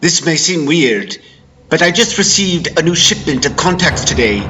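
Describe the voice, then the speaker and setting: A man's voice: fairly high pitch, big pitch swings, noisy background. A man voicing an eager, hesitant optometrist in a story reading, stumbling over ums and uhs. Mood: eager, persuasive. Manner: halting, hedging with ums and uhs. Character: eager, hesitant optometrist pitching experimental lenses.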